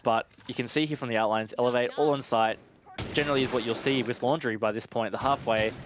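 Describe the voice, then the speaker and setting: A man with a medium-pitched voice offers analytical esports commentary. He talks in a measured, conversational manner over faint background noise. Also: fairly even intonation.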